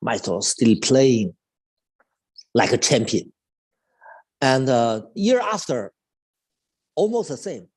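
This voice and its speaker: A man in his fifties from China, a native Chinese speaker with a Chinese accent, talks as a cello teacher in a live online chat. He speaks haltingly, with many restarts and fillers. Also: medium pitch, big pitch swings, darkish tone, husky, rough recording. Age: 50s.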